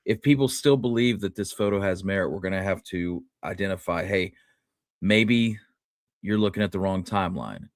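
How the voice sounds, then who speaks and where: A man co-hosting a casual history discussion, speaking in a measured, diplomatic way and qualifying his points. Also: low voice, no room sound, clean studio sound. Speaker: podcast co-host.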